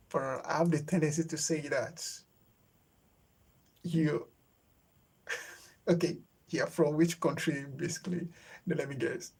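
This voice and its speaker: A man's voice: fairly high pitch, gravelly, a little mellow, slightly rough. A young man with a Nigerian English accent, a native Yoruba speaker, chatting casually with viewers on a livestream and searching for his words with lots of fillers. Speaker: livestream host. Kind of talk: casual live chat with viewers.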